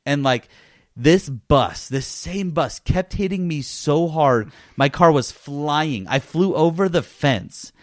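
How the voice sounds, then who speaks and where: A man's voice: on the high side, lively intonation, takes it slowly, no room sound, studio quality. A man hosting a casual gaming podcast, telling stories in an enthusiastic, emphatic way. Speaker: podcast host.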